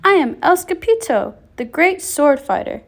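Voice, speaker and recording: A woman's voice: sits high, sing-song, measured pace, pitched above the performer's own voice, exaggerated voice. A woman voicing a boisterous boy kitten who plays at being a Chihuahua hero, boastful and singsong, with a mock Spanish accent. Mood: proud.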